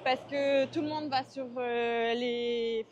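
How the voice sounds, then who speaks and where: Young Marseille woman, interviewee, hesitant, fairly high voice, outdoors. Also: fairly even intonation, takes it slowly, highs cut off, slight background noise. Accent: Marseille French. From France. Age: 20s. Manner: hesitant, many fillers and restarts. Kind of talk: casual interview while walking.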